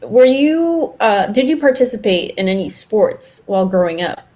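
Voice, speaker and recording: A woman's voice: mid-range voice, animated, normal speed, mellow. A woman with a mid-range voice co-hosts a podcast. She chats informally and puts questions to her companion in a conversational way. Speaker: podcast co-host. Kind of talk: casual chat, asking questions.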